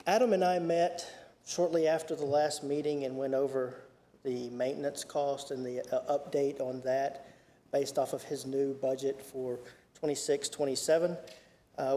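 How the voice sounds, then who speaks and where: A man reads out a report at a county committee meeting. He speaks haltingly and full of fillers, in a rather high but mellow voice. The sound carries a long hall reverb. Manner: halting, many fillers and restarts. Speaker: county official giving a report.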